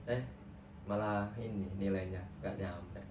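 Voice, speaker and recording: A man's voice: deep voice, steady tone, measured pace. A young man acting as a trainee counselor, chatting casually and friendly with lots of fillers. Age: young adult. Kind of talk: role-play counseling session. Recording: roomy, distant mic with clear background noise.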